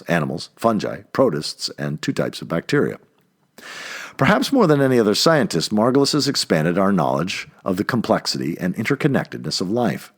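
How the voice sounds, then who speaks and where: A man narrates a nonfiction essay in a fairly low, husky voice. His pitch moves in wide, animated swings. He phrases deliberately and puts clear emphasis on key words, as an audiobook reader does.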